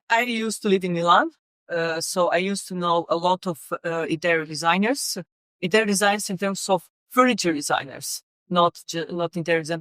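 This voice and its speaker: A middle-aged woman from Serbia speaks English in a relaxed interview. Her voice is smooth and resonant. She pauses often, with fillers and repeated words, and the sound is dry and close to the mic. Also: fairly low pitch, dry recording. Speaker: guest, company executive. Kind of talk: casual interview conversation.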